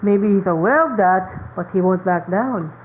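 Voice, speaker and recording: A woman's voice: mid-range voice, moderate pace, phone-quality sound. A woman streaming a game with casual, informal commentary, rambling and reacting as she plays.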